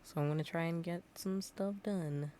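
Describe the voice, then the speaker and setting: A woman's voice: fairly low pitch, normal speed, mellow. A woman livestreaming a game in casual, rambling chat, her voice a little worn out and tired.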